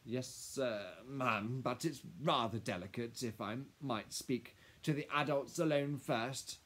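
Audiobook lawyer played by a man: officious, anxious, deferential, halting delivery at a raised pitch. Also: a bit bright. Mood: nervous. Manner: stammering, hesitant, officious. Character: nervous, deferential, fussy male lawyer.

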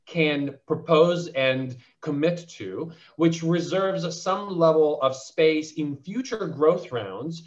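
A man's voice: on the high side, takes it slowly, hardly any pauses, highs cut off. A man on a panel discussion explaining his views as a venture investor, articulate but full of restarts and fillers.

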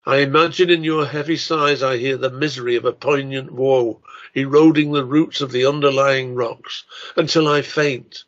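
Older man, formal delivery, dull lo-fi sound. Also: medium pitch. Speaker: writer and lecturer. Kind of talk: scripted talk and poetry recital.

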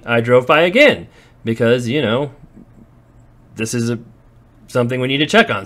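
A man's voice: mid-range voice, fairly bright tone. A man, a landlord, chatting casually as he walks through his properties on a handheld phone camera. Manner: chatty, storytelling, occasional laughs. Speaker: real estate investor and landlord. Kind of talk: walkthrough vlog narration. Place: walking through empty warehouses and outdoors.

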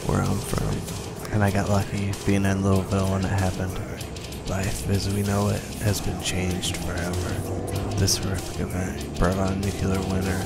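A man playing a weary, bitter survivor who narrates a post-apocalyptic radio play in a grim, deliberate way. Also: sits low, gravelly.

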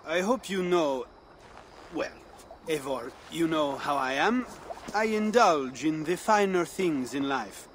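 An actor playing a flirtatious, pleasure-loving Frankish man in a video game, coy and halting. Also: a little high, expressive, a bit bright, a little hiss or hum. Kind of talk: dramatic video game acting. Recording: music behind the voice.

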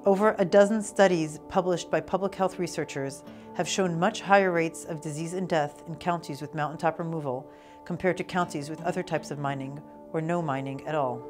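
A woman's voice: sits low, steady tone, a little fast, music in the background. A woman, around her thirties, reading scripted documentary narration as a researcher.

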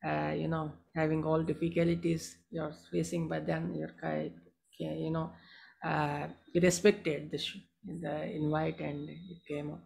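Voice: medium pitch, level tone, measured pace, creaky, darkish tone, poor audio. A host running a live online poetry gathering, speaking politely and hesitantly and often repeating words and phrases. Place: online video call.

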